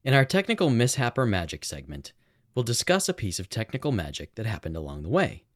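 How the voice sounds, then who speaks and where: A man's voice: lowish voice, huge pitch swings, fast. A man co-hosting a casual home-recorded podcast, rambling and correcting himself as he explains things. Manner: rambling, self-correcting, chatty. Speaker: podcast producer and co-host. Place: home recording setup in a house. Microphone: boom-arm microphone.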